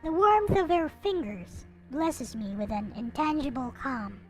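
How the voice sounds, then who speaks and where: A woman reading a visual novel aloud in an expressive, theatrical way, with some casual commentary. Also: high voice, measured pace. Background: background music.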